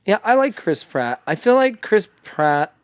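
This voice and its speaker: A man's voice: sits high, very animated, unhurried, dry recording, clean studio sound. A man hosting a podcast, joking through casual, profane banter. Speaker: podcast host. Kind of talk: casual chat. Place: podcast studio.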